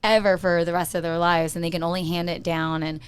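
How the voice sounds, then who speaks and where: A woman co-hosting a casual show, telling stories in a chatty, bubbly way, her voice a little raspy from yelling. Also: lowish voice, little pitch movement, fairly quick. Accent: American. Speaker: co-host. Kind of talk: casual chat.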